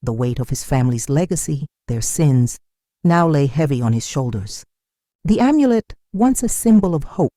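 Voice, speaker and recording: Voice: medium pitch, dark tone, dry recording, studio quality. A narrator delivering a dramatic scripted folktale in a solemn, suspenseful manner.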